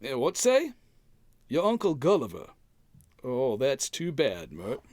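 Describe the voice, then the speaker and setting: A man's voice: medium pitch, huge pitch swings, slow, a little mellow, no room sound. An American man playing a bumbling, cheerful packrat husband in old-time radio comedy style, folksy and hemming as he makes excuses. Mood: folksy, chatty.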